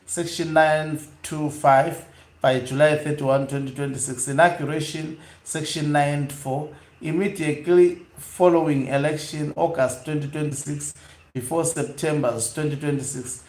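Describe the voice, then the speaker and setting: A man hosting an online news broadcast, reading aloud and commenting live in a halting, hesitant way with drawn-out pauses. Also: a little high, a little mellow. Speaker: online news channel host. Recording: echoey room.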